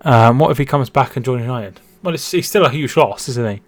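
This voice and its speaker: A man's voice: medium pitch, a little fast, dry recording. Chatty man hosting a watch-along. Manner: chatty, repeats words and restarts while reading chat. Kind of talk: casual live-stream chat with commentary.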